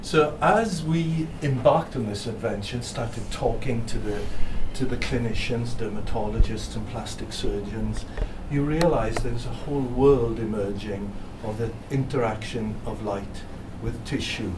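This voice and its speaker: A man's voice: mid-range voice, measured pace, few pauses. A Welsh man, a physicist, giving a live presentation to an audience with anecdotes and dry self-deprecating humor. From South Wales. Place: hotel function room. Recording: distant mic with room noise.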